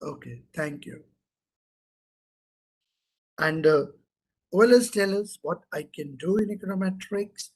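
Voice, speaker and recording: A man's voice: on the high side, noisy background. A middle-aged man with an Indian English accent, giving an interactive online lecture, asking questions and repeating key terms for emphasis.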